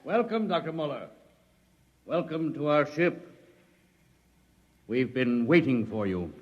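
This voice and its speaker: An actor playing a ghostly island chief with calm, solemn menace in a 1950s radio drama. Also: male voice, medium pitch. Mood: calm, eerie. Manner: slow, solemn. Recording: dull, distant vintage radio recording.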